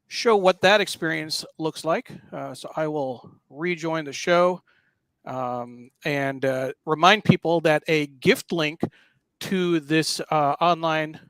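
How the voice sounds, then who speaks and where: A man hosting a casual live talk show, explaining what he is showing in a halting, explanatory way. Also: fairly high pitch, a bit bright, no echo. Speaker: show host. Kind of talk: casual live talk walking through a newspaper.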